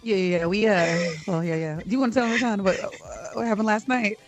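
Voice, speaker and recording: A woman's voice: mid-range voice, steady tone, fast talker. Podcast guest: American female, late 30s, casual, playful, slangy talk with a light music bed. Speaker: podcast co-host appearing as guest. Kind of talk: casual chat. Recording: music bed under speech.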